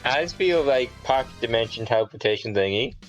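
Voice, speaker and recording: A man's voice: medium pitch, expressive, fairly quick, rough recording. A man chatting casually over a video game, arguing his case point by point. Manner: argumentative, point-by-point reasoning. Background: game music.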